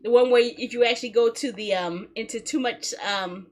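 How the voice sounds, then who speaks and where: Playful high-voiced woman streaming. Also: moderate pace. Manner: playful, giggly, chatty. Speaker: video game livestream host. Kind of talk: casual livestream chat with viewers. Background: music.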